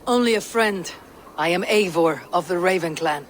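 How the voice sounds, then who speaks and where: A woman's voice: lowish voice, normal speed, a little hiss or hum, close to an everyday voice. An actress playing a bold Norse woman warrior of a raider clan in a video game cutscene. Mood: firm, resolute. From Norway.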